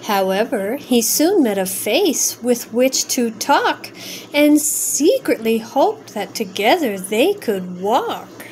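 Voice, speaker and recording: A woman reading a rhyming children's story aloud in an expressive, drawn-out sing-song way. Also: a little high, slight background noise, poor audio. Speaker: storybook reader.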